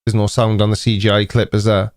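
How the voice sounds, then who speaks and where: A man's voice: lowish voice, monotone, fast talker, no room sound. A man in his forties with a Welsh accent, hosting a casual live stream chat in a dry, teasing, mock-indignant manner. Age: 40s.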